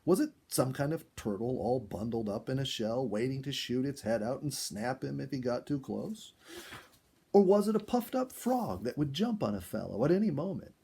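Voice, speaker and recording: A man reading a first-person animal story aloud in an expressive, dramatic storytelling style. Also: mid-range voice, normal speed, gravelly, husky. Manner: expressive, acts out dialogue and exclamations.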